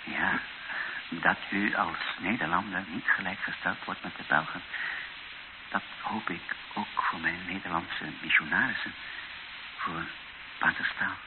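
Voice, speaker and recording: A male actor playing a grave, fatalistic missionary bishop in a radio drama, speaking in a solemn, matter-of-fact, measured way. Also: low voice, fairly even intonation. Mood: grim realism. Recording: tinny old radio recording with clear hiss.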